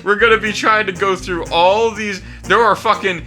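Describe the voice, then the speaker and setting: A man's voice: high voice, steady tone, moderate pace, bright, forceful tone, faint music behind. A young adult man doing casual host commentary and reading a summary aloud, sweary and amused. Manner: sweary, amused, often breaking into laughter. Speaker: video host.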